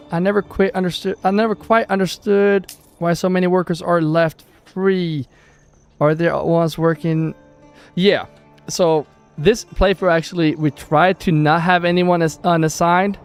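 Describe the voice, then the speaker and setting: A young man from southern Sweden with a Swedish accent, chatting casually with viewers while streaming a strategy game. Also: on the high side. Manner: chatty, thanks viewers and reads chat aloud. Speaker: gaming livestreamer. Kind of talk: casual live-stream chat over gameplay.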